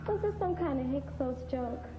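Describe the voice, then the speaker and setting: A woman's voice: high-pitched, animated, normal speed, noisy background. A woman playing a young Egyptian royal granddaughter, earnest and spirited, speaking formally in a theatrical historical drama. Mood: curious, indignant. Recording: echoey, thin narrow sound, music behind.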